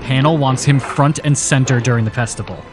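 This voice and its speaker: A man's voice: medium pitch, fairly quick, over music, close to an everyday voice. A man playing an uneasy, dutiful asylum staffer in an audio drama. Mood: concerned.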